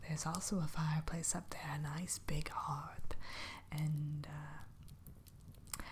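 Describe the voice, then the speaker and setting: A woman's voice: low voice, a little mellow, slight background noise. A woman playing a kindly, spooky witch innkeeper in a whispered ASMR roleplay, musing hesitantly with coy mischief as she tends to a guest. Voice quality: breathy, whispery.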